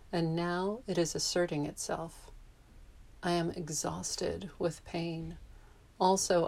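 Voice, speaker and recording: A woman in her fifties reads her own essay aloud in a calm, measured way. Her voice sits a little low for a woman. She was born in Japan and grew up in the US. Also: gravelly. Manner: reflective, measured. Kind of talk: reading a personal essay.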